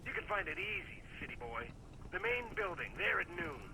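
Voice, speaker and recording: A man's voice: fairly high pitch, sing-song, a little fast, background noise. An actor playing a menacing kidnapper in a video game, taunting and bullying as he speaks.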